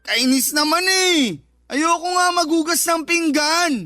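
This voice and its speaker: An actor playing a lazy, irritable and defiant younger brother in a radio drama. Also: male voice, very high voice, unhurried, pushed and bright, no room sound, clean studio sound, faint music behind, heavily acted. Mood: whiny. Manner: whiny, irritated. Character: lazy, sulky, defiant younger brother, married mobile gamer. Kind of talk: Filipino radio drama dialogue.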